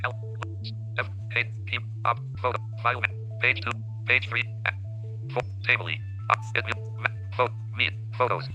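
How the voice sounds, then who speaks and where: A man's voice: medium pitch, moderate pace, faint music behind. A male synthetic screen reader voice that reads out phone interface items in short, clipped bursts. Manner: clipped, flat interface announcements.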